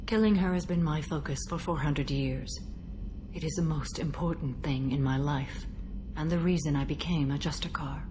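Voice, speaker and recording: An actress playing a stoic, centuries-old alien warrior woman bound by a code in a video game, speaking in a measured, formal, solemn manner. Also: deep voice, background noise, natural voice. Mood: grave, resolute. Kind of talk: video game dialogue acting.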